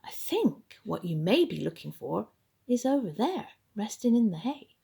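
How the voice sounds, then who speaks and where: A woman voicing a kindly, knowing male farmer in a children's story, in a gentle, reassuring manner. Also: mid-range voice, very animated, unhurried, gravelly, husky, full character voice.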